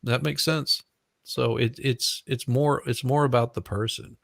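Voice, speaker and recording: A man with a Southern US accent in casual live stream chat, talking in a halting, reflective way. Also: medium pitch, fairly even intonation, gravelly, fairly bright tone, no room sound, studio quality.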